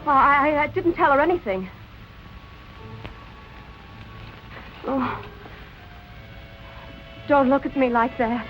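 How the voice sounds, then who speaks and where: Casting: frightened film noir woman, high-pitched stammering pleader; vintage melodrama, clear music underneath. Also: a little slow. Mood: nervous, stammering. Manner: breathless, stammering, imploring. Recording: muffled old film soundtrack, music underneath.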